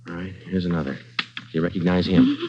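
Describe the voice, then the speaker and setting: A deadpan cop narrator, very low voice, clipped matter-of-fact lines, dull old radio sound. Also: male voice, a little fast, a little hiss or hum, heavily acted. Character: terse, deadpan adult male police sergeant. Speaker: police detective sergeant and narrator. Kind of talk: 1940s radio drama acting. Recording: dull 1940s radio broadcast with music.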